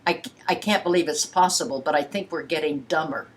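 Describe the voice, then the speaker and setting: A woman's voice: low voice, a little fast. A woman in her eighties talking casually and frankly, with a blunt, playful, emphatic way of putting things. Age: 80s. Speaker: sex educator giving private sessions.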